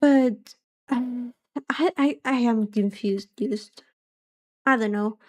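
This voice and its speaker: Soft, high-voiced woman streamer, unhurried. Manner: musing, hesitant, playful. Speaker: livestreamer, vtuber. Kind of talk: casual livestream reaction chat.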